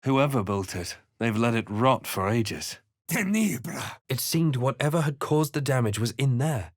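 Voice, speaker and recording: A man voicing a composed, observant, brooding adventurer in a fantasy video game, with measured, theatrical delivery. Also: mid-range voice, lively intonation, no room sound, studio quality. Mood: forceful incantation. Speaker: video game player-character voice. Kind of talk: dramatic first-person narration and character barks.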